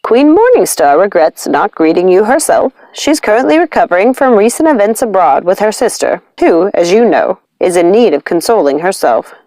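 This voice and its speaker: A woman's voice: mid-range voice, big pitch swings, moderate pace, few pauses, dark tone, dry recording, lightly acted. A woman voicing a very old, gracious nanny with a courteous, composed manner in a storybook. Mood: welcoming.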